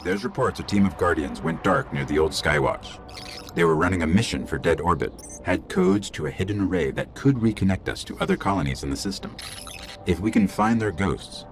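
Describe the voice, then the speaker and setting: A man's voice: sits low, brisk, noisy background. A man voicing a small, earnest robotic AI companion in a video game, briefing in a clipped, urgent way. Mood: briskly briefing. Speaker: companion guide. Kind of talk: scripted video game dialogue.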